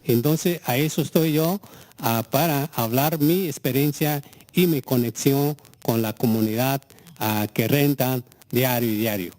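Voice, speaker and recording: A man gives public comment at a formal meeting as a community organizer. His first language is Mixteco, and his voice sits at an average pitch. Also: moderate pace, darkish tone.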